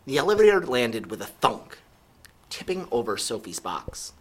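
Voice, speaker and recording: A man narrating a children's story aloud in an animated, expressive storytelling style. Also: mid-range voice, normal speed, slight background noise. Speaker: storybook reader.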